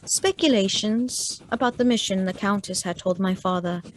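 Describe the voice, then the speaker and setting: An actress playing a sheltered, eager young gentlewoman in a radio play, with an earnest, measured delivery. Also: mid-range voice, slight background noise, lightly acted. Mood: wistful, earnest. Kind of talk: dramatic radio play acting.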